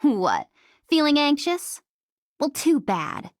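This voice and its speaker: A woman's voice: high-pitched, wildly swooping pitch, slow pace, no room sound, full character voice. Sardonic, cocky female mercenary, dry recording. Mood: teasing. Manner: sarcastic, taunting, teasing delivery. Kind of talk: video game character voice lines.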